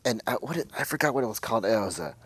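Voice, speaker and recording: A man in his forties from West Texas, talking casually and haltingly as a guest, searching for words as he shares a personal account. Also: fairly low pitch, big pitch swings, fairly bright tone, breathy edge. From West Texas, United States. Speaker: interview guest, intersex speaker.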